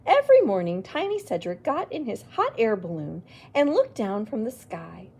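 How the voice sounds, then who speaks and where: A woman reading a picture book aloud to children, expressive, with little exclaimed asides. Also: medium pitch, moderate pace, gravelly, breathy edge. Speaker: children's library storyteller.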